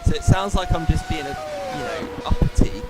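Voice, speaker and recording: A man's voice: sits high, fairly quick, heavy background noise. A man commentating a sim race live, with a slightly nasal, posh-sounding voice and a chatty, explaining manner. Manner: animated, chatty, explains racing lines.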